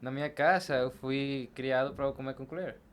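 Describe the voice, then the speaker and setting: A man's voice: mid-range voice, normal speed. A man with a Venezuelan accent, a native Spanish speaker, answering conversationally as a podcast guest. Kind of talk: conversational interview answers, personal anecdotes. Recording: clear background noise.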